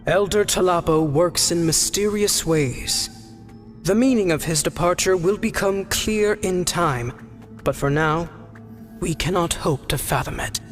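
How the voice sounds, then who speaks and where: A reverent, mystical tribesman for a video game. The voice is high for a male, solemn and calm, over soft music. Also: a little slow.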